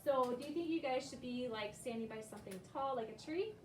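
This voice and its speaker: A woman's voice: high voice, fast. A woman schoolteacher explaining things simply to young children and checking with short tag questions. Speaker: elementary school teacher. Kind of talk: classroom teaching of young children. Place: indoor school classroom. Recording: roomy, distant mic, noisy classroom. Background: classroom noise and children.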